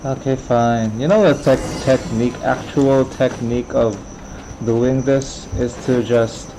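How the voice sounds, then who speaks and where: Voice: medium pitch, steady tone, measured pace, background noise. A live streamer of indeterminate sex giving casual commentary while playing a video game. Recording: game music behind the voice.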